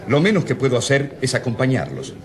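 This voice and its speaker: Earnest country priest amid a crowd. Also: male voice, medium pitch, wildly swooping pitch, fairly quick. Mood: solemn compassion. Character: earnest, compassionate male rural priest siding with peasants. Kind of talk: radio drama acting. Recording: distant voice amid crowd noise.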